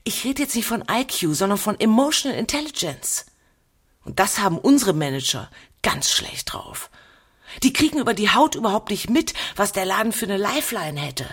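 A woman's voice: mid-range voice, very animated, normal speed, a bit bright, slightly rough. A woman giving opinionated interview talk, emphatic, dropping in English business terms.

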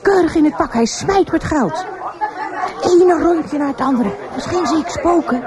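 An actress playing an uneasy café keeper in a radio drama, confiding and hesitant. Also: female voice, high-pitched, normal speed, natural voice. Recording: busy café crowd behind the voice.

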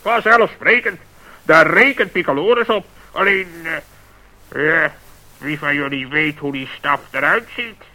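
A self-important wise old bird in a radio play, fussy and pompous. His voice is high and very bright. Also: lively intonation, a little slow, heavily acted, low-quality recording.

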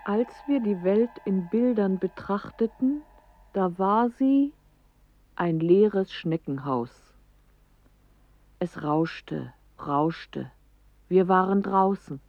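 A reflective woman tells poetic images slowly for a radio play. Her phrasing is measured, with room between lines. The sound is dry and slightly muffled. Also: mid-range voice, lively intonation, no echo. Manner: slow, measured storytelling. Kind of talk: poetic radio drama narration.

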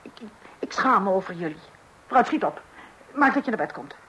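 A woman's voice: medium pitch, expressive, brisk, sharp tone, slight background noise, low-quality recording. Stern scolding mother.

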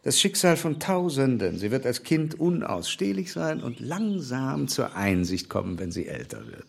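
Wry gentleman with gravelly voice. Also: mid-range voice, sing-song, heavily acted. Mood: wry, tender teasing. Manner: dry, teasing, measured. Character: wry, affectionate, level-headed English gentleman in love. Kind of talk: radio play acting.

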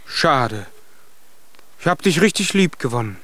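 Tiny boastful giant, high lively voice, naive, children's radio play, faint noise. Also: male voice, a little slow. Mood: hopeful. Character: small bearded giant, strong, eager, proud, childlike.

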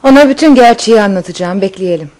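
A woman's voice: mid-range voice, expressive, fast, darkish tone, lightly acted, rough recording. A woman acting in radio theatre as a passionate, hopeful countess, emotive and affectionate with her lover. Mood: determined, reassuring.

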